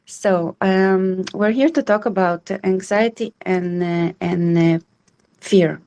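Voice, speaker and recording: Slow-spoken woman hosting a support group. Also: lowish voice, dark tone, clear, resonant tone. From Israel. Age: 30s. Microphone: video call.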